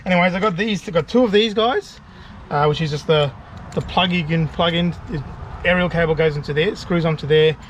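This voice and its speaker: Higher-voiced Australian man, noisy background. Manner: informal, chatty, mate-to-mate. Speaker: DIY video host. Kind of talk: casual how-to vlog. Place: outdoors beside a vehicle. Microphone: action camera. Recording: clear background noise, outdoor camera audio.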